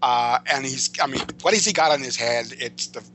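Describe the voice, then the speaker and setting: Male podcast guest, forceful piercing tone, swooping joky delivery, wry asides, slight background noise. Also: mid-range voice, fairly quick. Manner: wry, animated, breaks into mock voices and asides. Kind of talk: casual, joking film chat.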